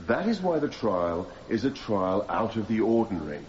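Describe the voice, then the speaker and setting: An actor playing an impassioned defence barrister, making a measured, persuasive courtroom speech to a jury in a radio drama. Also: male voice, fairly low pitch, big pitch swings, a crowd in the background. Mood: earnest. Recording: slightly dull, noisy radio broadcast copy.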